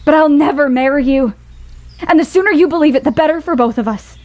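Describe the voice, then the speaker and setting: A woman's voice: high-pitched, quick pace, darkish tone, close to an everyday voice. An actress playing a headstrong, loving young woman in an audio play, earnest and emphatic in her delivery. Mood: firm, exasperated.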